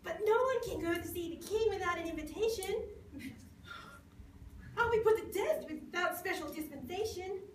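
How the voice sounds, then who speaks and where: A woman's voice: extremely high pitch, moderate pace, long pauses. A woman playing a timid, dutiful young queen in an amateur puppet show, meek and girlish. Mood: anxious. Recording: echoey noisy room, distant mic.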